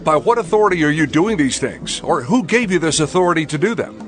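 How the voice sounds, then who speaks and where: Man acting animated, wary religious elder. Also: a little high, fast talker, close to an everyday voice. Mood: challenging. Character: questioning male religious elder, wary and official. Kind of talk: dramatized scripture reading. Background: music.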